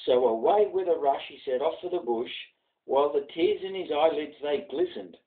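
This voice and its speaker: An older Australian man reciting bush verse in a theatrical, expressive storytelling manner. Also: fairly high pitch, moderate pace. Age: middle-aged or older. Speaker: poetry reader and presenter. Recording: dull lo-fi sound, distant mic.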